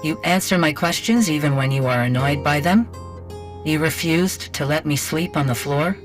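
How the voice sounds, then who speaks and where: A man's voice: on the high side, moderate pace, faint music behind, pitched above the performer's own voice, close to an everyday voice. A man voicing an earnest, literal-minded female artificial person who speaks in blunt, formal, methodical phrases. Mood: earnest, reasoning.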